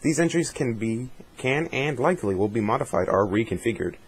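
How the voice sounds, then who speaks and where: A man with a slightly husky voice doing a dramatic reading, with casual asides in between. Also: medium pitch, expressive, a little fast, slight background noise. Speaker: reader of a fan dramatic reading.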